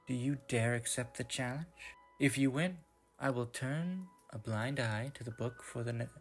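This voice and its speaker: A man voicing a stern, smug male enforcer from a game in a deliberate, taunting fan-dub delivery. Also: mid-range voice, faint music behind, lightly acted. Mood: challenging.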